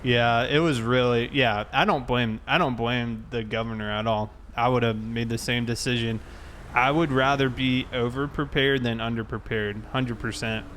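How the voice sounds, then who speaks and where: A man's voice: medium pitch, steady tone, moderate pace, fairly bright tone. Laid-back American man streaming, noisy background. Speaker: game livestream host. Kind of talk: casual livestream chat while playing.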